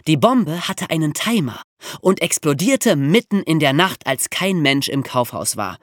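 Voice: medium pitch, sing-song, a little slow, no echo, clean studio sound. A podcast host telling a story in a colloquial, conversational way, with rhetorical questions. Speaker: podcast host telling true crime stories.

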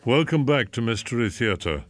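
A man hosting a radio drama series, reading a scripted introduction in a measured, theatrical manner. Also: mid-range voice, animated, soft background music.

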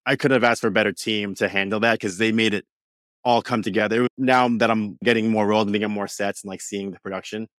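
A young man chats as an interview guest. He talks fast and animated, full of fillers and restarts, in a fairly low voice. The sound is dry and close. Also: no room sound. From New York, USA. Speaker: actor guest. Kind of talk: casual interview chat.

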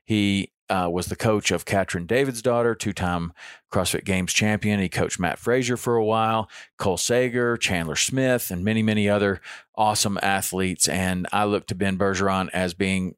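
A man's voice: lowish voice, fairly even intonation, normal speed, clean tone, no echo, clean studio sound. A man in his fifties hosting a podcast, talking casually on his own with frequent ums and restarts. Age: 50s. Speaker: podcast host. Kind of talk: casual solo podcast monologue.

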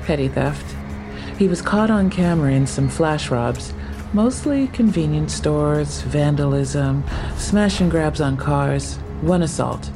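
An actress playing a matter-of-fact police officer briefing a colleague in an audio drama. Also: female voice, low-pitched, animated, takes it slowly, over music, exaggerated voice. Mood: intent, factual.

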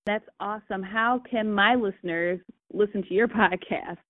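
A woman hosting a podcast, moving her guest along with brisk questions. Her voice comes through a narrow, phone-like line and sounds completely dry. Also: medium pitch, dry recording. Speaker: podcast host. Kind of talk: interview questions.

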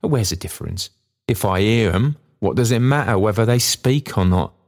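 A man's voice: fairly low pitch, animated, normal speed, pitched above the performer's own voice. A man voicing a kindly, poor old working father in a storybook, musing cheerfully in folksy, rambling speech. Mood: warm, animated. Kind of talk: storybook character dialogue.

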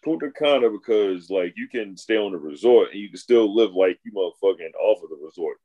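A man's voice: lowish voice, brisk, dull audio. A man co-hosting a podcast, chatting casually and profanely in a laid-back, drawling way. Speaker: podcast co-host.

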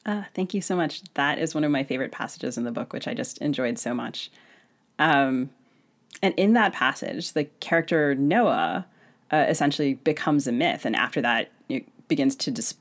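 A woman co-hosting a literary podcast, putting interview questions to a guest. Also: extremely low pitch. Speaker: podcast co-host.

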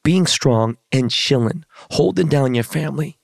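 A hoarse man hosting a podcast in casual chat, talking in slangy, profane, hyped-up street style. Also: mid-range voice, lively intonation, unhurried, no room sound, studio quality. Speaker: podcast host.

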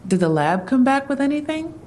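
An actress playing a wry, probing police detective in an audio drama, matter-of-fact and questioning. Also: female voice, high voice, big pitch swings, a little fast, a little mellow, a little hiss or hum.

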